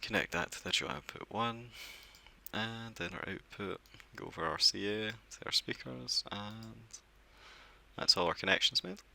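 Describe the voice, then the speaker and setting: A man with a low, gravelly voice talks through a software setup one step at a time. His tone is bright and forceful despite the depth, and he keeps things relaxed with small fillers as he clicks along. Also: moderate pace. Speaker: software tutorial presenter. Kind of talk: screen-recorded software walkthrough.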